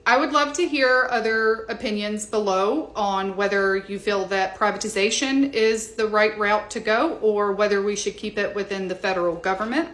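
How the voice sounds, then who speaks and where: A woman's voice: mid-range voice, a bit bright. American woman presenting, echoey room. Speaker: presenter of an aviation discussion. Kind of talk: explanatory scripted commentary to viewers.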